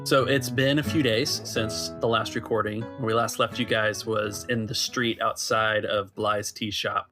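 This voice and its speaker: A man's voice: fairly low pitch, level tone, unhurried, few pauses, over music. A man casually narrating a tabletop game as game master and asking players what they do.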